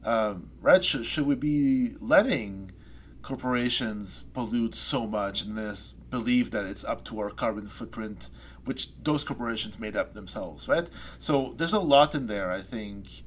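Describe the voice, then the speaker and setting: A man, a poet being interviewed, talking things through slowly with many restarts and trailing pauses. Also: lowish voice, slight background noise. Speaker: poet guest. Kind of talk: reflective interview chat. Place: Saskatoon resident.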